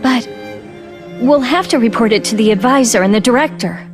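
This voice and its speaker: An actress playing a concerned, dutiful woman soldier in a video game, with a breathy voice. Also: on the high side, moderate pace, music in the background, lightly acted. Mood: concerned, hesitant. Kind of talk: English video game voice acting.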